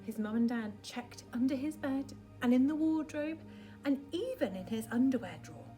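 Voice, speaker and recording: A woman with a high voice reads a children's picture book aloud in the manner of a primary school teacher. Her delivery is dramatic and expressive, often softening to a near-whisper. Music plays behind her. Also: normal speed. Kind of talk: expressive children's storybook reading.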